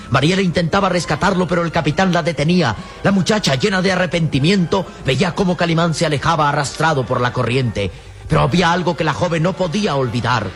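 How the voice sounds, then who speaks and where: A male announcer and narrator of an old radio drama, his voice fairly high. He declaims solemnly and theatrically, with prominent music running behind him. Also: fairly bright tone.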